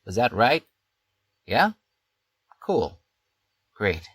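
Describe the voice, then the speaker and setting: A man giving a deadpan, sarcastic comedic reading of a fan story on a close home mic. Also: on the low side, extremely slow, slightly rough, no echo. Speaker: online story reader.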